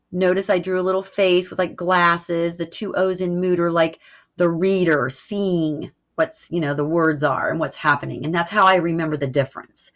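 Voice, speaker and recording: A woman teacher explaining a recorded lesson in an informal way, checking in as she goes. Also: on the low side. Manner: informal, explanatory, frequent "all right" check-ins. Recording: dull, lo-fi audio.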